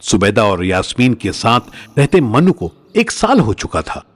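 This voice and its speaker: A man's voice: fairly low pitch, big pitch swings, unhurried, slightly rough, dry recording. A man narrating a scripted audio drama in a dramatic storytelling style.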